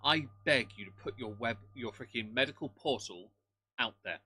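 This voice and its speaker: A man's voice: medium pitch, normal speed, sharp tone, breathy edge. A man co-hosting live reaction commentary, blunt, sarcastic and scornful, with crude humour. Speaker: live stream commentary co-host.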